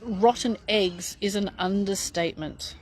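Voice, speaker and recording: A woman's voice: mid-range voice, measured pace, a little mellow, breathy edge. A middle-aged woman who reads out viewer questions and answers them in a casual, emphatic, matter-of-fact way, laughing now and then. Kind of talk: casual live Q&A chat.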